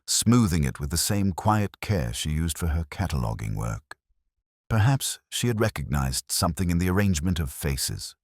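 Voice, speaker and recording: A man with an extremely deep voice narrates a first-person detective story. His delivery is measured and literary, with a brooding tone and plenty of movement in pitch. The sound is dry, with no room around it. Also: no echo.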